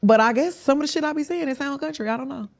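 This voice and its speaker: A woman's voice: sits high, very fast, vocal fry. A young adult woman from Houston with a Southern Texas drawl, a rapper guest talking casually, candid and slangy, laughing and swearing freely. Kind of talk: casual interview chat.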